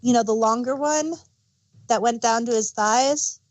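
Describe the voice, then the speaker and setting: A woman's voice: fairly high pitch, unhurried, darkish tone. A woman talking casually and conversationally as a podcast host. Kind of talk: casual chat.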